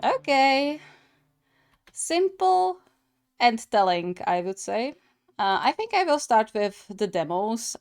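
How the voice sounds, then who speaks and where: Slow-paced woman chatting as a reaction host. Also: medium pitch, vocal fry, darkish tone. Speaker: gaming reaction channel host. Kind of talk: casual reaction commentary.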